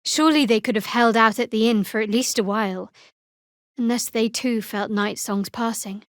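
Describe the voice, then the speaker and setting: A woman voicing a guarded, wry young female cleric of a dark goddess in a fantasy video game, with a dry, measured delivery. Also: fairly high pitch, no room sound, lightly acted.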